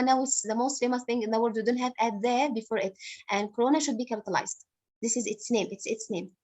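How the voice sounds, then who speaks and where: A woman teacher speaks over a video call, her voice sitting a bit high. She goes slowly and patiently, repeating each correction and softening it with terms of endearment. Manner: patient, explains slowly, repeats corrections, calls student honey. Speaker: English teacher. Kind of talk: online class correcting a student.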